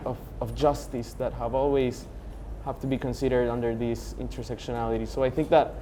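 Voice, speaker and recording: Young male panelist from Honduras, earnest, methodical, mellow tone. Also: medium pitch, little pitch movement, fairly quick, a little hiss or hum. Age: young adult. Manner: earnest, building points with for instance. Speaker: panelist, youth organization founder. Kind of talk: panel discussion.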